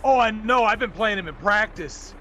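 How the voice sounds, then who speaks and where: A man in his thirties, a voice actor guest chatting casually while gaming in a gushing, excitable way. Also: high-pitched, moderate pace, a little hiss or hum. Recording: music playing behind the voice. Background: music.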